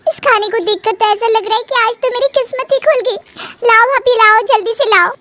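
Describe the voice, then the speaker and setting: A fussy young sister-in-law in a cartoon family drama played by a woman. Her voice is extremely high and girlish. She whines and complains with big dramatic flair. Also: a little fast, bright, forceful tone, dry recording. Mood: eager. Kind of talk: animated family story dialogue.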